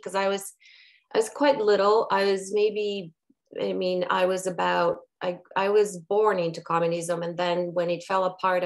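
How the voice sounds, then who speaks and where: Woman in her 50s from bulgaria, on a video call, thinks out loud, lots of restarts, mid pitch. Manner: thoughtful, halting with many restarts. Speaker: guest, brand strategist. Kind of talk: conversational interview.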